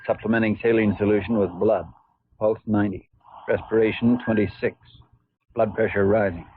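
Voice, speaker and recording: A calm male anesthesiologist voiced by a radio actor, fairly low and very even in pitch. He reports in a clinical, businesslike way in vintage radio style, over a tinny, noisy old recording. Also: moderate pace, close to an everyday voice. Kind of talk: old-time radio drama acting.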